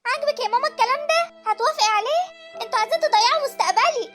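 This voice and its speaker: A woman doing a young Egyptian daughter in a family drama, very high and racing fast, pleading and defiant, swinging between tears and protest. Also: animated, over loud music. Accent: Egyptian Arabic.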